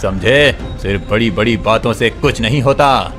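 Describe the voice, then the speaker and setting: A man voicing a greedy, gruff village moneylender. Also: fairly low pitch, measured pace, fairly bright tone, faint music behind. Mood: mocking. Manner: gruff, threatening, mocking. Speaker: voice actor. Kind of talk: cartoon story voice acting.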